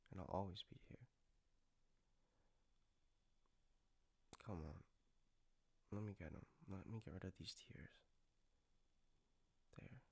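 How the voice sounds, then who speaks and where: A man acting as a doting boyfriend in an intimate comfort roleplay. His voice sits low with plenty of gravelly fry. He speaks tenderly and a little haltingly, with gentle playfulness. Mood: soothing. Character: gentle, doting male partner soothing a lover after a nightmare.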